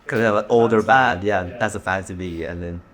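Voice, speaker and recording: A man's voice: low voice, a little fast, a bit bright. A young man from Hong Kong, a drummer, chatting casually in an interview. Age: young adult. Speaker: band drummer. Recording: ambient room noise behind.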